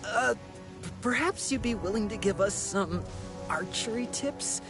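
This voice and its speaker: A man's voice: high voice, animated, measured pace, music in the background. A man voicing a discouraged, deferential young trainee archer in a video game, hesitant and polite. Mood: hesitant, pleading.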